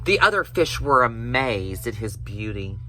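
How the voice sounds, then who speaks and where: A man telling a children's story in an expressive storybook reading style. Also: mid-range voice, measured pace, slight background noise.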